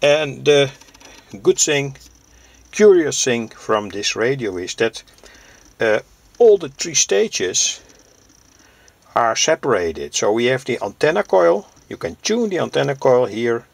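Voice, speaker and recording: Man from Europe, unhurried pace, gravelly fry, hesitant phrasing, informal demonstration. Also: mid-range voice, dry recording. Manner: halting, hesitant, pausing mid-sentence. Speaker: presenter, vintage radio hobbyist.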